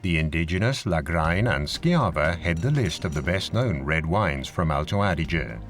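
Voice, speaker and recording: A man reading scripted narration for an educational film in a measured, formal and informative manner. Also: sits low, animated. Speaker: documentary narrator. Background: music behind the voice.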